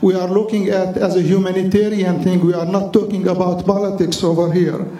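A high-pitched man with a mellow, darkish tone speaks earnestly at a meeting's floor microphone, appealing to the council in slightly non-standard English. He is from the Middle East. The sound is roomy and heard from some distance in a big hall. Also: little pitch movement, a little fast. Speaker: chapter councilor. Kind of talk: public comment speech at a meeting. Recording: echoey hall, distant mic.

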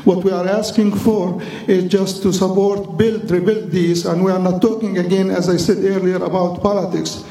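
A man's voice: high voice, level tone, normal speed, hardly any pauses, dark tone. A man from the Middle East, a chapter councilor, giving an earnest public comment at a floor microphone in a large meeting hall, in slightly non-standard English. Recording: echoey hall, distant mic.

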